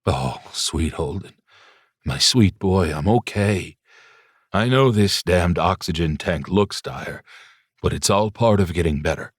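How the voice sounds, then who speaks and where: A man voicing a gentle, frail older father who is ill but reassuring, speaking softly and slowly. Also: low voice, animated, breathy edge, no echo, studio quality, exaggerated voice. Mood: tender. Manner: soft, slow and tender. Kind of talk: audiobook character voice.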